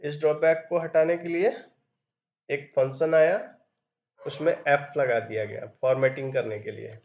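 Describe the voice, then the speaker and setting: A man's voice: fairly high pitch, steady tone, normal speed, darkish tone, dull audio. A man teaching programming step by step, reading code aloud as he types. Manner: explains step by step while typing, reads code aloud. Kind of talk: screen-recorded coding tutorial.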